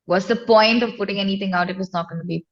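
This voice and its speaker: A woman's voice: medium pitch, fast, muffled recording. A woman with an Indian accent chatting candidly and informally as an interview guest, with emphatic phrasing. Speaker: interview guest, indie singer-songwriter. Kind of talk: casual chat.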